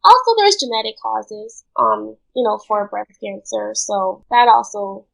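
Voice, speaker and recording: A woman's voice: a little high, expressive, a little slow, vocal fry, poor audio. A woman from Guyana speaking as an interview guest, explaining things in an informal, conversational way. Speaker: interview guest, cancer researcher.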